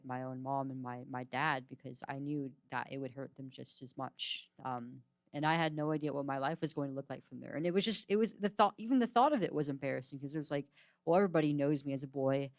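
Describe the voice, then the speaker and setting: A young woman speaking as a panelist, giving halting, earnest personal testimony. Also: extremely low pitch, no room sound.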